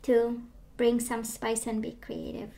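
Woman, tarot reader, voice giving out, fairly high, flat intonation, chatty, lots of fillers. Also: unhurried. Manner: chatty, reassuring, many fillers and restarts. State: losing her voice, clearing throat. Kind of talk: casual tarot reading to camera. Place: indoors at a table of tarot decks.

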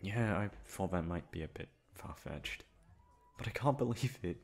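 Man acting a low, creaky, bashful young playboy. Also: animated. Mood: embarrassed. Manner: halting, stammering, bashful. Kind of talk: romantic ASMR roleplay acting.

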